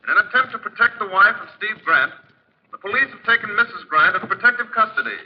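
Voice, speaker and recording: An actor playing a formal, measured radio news announcer in 1940s style. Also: male voice, high voice, expressive. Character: formal radio newsreader giving a police bulletin. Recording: dull, lo-fi old radio recording.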